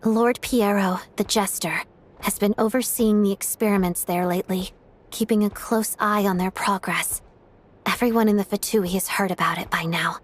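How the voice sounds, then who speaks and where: A woman's voice: medium pitch, fairly even intonation, moderate pace, a little hiss or hum, natural voice. Woman acting resolute, composed young female agent. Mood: composed, serious. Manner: composed, purposeful. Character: resolute young female agent, rebel against her own organization. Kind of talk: video game cutscene acting.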